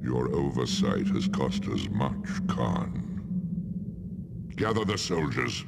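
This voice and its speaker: An abyssally deep, slow-speaking monster tyrant, guttural and full of scorn. He is a domineering video game villain, and the voice rings in a long reverb. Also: very animated, heavily acted. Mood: stern, threatening. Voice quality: gravelly, guttural. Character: huge monstrous eye tyrant crime lord, contemptuous, menacing. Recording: heavy reverb, very noisy game capture.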